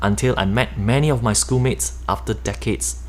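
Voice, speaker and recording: A middle-aged man with a Malaysian accent reading his own nonfiction book aloud in a steady, explanatory way. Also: on the low side, moderate pace, a little hiss or hum. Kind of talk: nonfiction audiobook narration.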